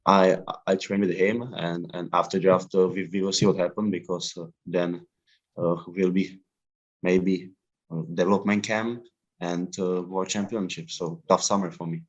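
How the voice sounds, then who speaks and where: A young man from the Czech Republic with a Czech accent, answering interview questions in halting English full of fillers. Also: low voice, level tone, highs cut off. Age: young adult. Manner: halting, many uh fillers and repeats. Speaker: draft prospect guest. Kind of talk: interview answers in a second language.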